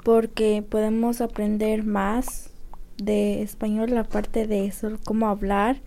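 Flat, mellow teenage girl. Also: medium pitch, slow pace, slight background noise. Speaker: student. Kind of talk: casual group discussion.

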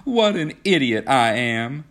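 A naive young rich girl from a storybook, as voiced by a man, with the pitch lifted well up and swinging freely. The manner is innocent and dreamy, as if the world outside were all new. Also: expressive, measured pace, slightly rough. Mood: startled, amused.

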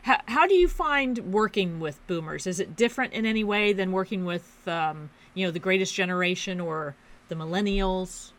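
A woman's voice: fairly low pitch, lively intonation, moderate pace, few pauses, fairly bright tone. A woman hosting a podcast, introducing her guest and asking questions in an upbeat, encouraging way. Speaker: podcast host. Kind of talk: podcast interview.

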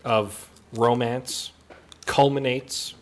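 A man's voice: medium pitch, fairly even intonation, very slow. A man co-hosting a podcast, speaking in a dryly jokey, mock-formal presenter's manner. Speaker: podcast co-host. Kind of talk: casual hosting and scripted sign-off.

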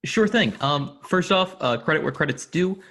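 A man, an audio drama producer, answering an interview in a relaxed way with fillers. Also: a little high, normal speed.

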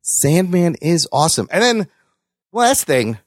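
Animated, profane man hosting, higher-pitched voice. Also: measured pace, no echo, studio quality. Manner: excitable, profane. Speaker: podcast host. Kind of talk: casual news chat with co-hosts.

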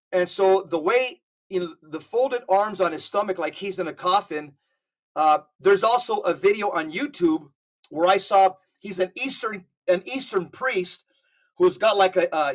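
A man's voice: high voice, normal speed. A man talking on a podcast, emphatic and often repeating words as he gathers his thoughts. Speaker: podcast co-host. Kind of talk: casual podcast conversation.

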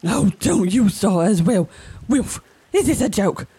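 A woman doing a brash cockney spy, lively. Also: mid-range voice, unhurried, breathy edge. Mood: exasperated. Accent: London cockney. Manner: sharp-tongued, sarcastic, loud.

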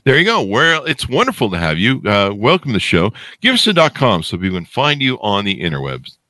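A man's voice: on the low side, huge pitch swings, fairly quick, bright, forceful tone, dry recording. A man hosting a podcast in a casual, jokey, rambling way with a playful, self-deprecating manner. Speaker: podcast host.

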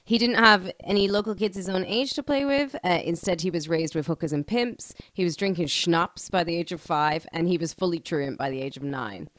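Low-voiced, irreverent woman telling true crime. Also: brisk, few pauses, dry recording. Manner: irreverent, joking, slangy. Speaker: podcast host. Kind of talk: scripted true-crime narration with casual banter.

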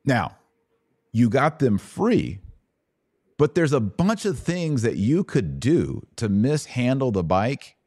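A man's voice: mid-range voice, very animated, slow, darkish tone, no room sound, studio quality. A middle-aged American man hosting a conversational religious question session, explaining step by step and checking understanding with short questions. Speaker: host answering Bible questions.

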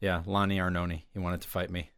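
A man's voice: low-pitched, little pitch movement, fairly quick, a bit bright, no room sound, clean studio sound. A man hosting a podcast, asking casual questions and laughing along with his guest. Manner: friendly interviewer, laughs along. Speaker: podcast host. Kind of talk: casual chat.

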